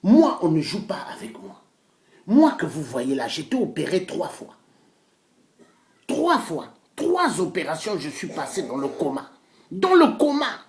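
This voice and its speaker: A man speaks as a preacher-activist in a fiery, declamatory monologue. His pitch swings widely as he boasts and hammers phrases by repeating them. The sound is echoey, as in a bare room. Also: wildly swooping pitch, unhurried. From Congo-Brazzaville. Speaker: self-styled pastor and political activist. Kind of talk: impassioned political monologue.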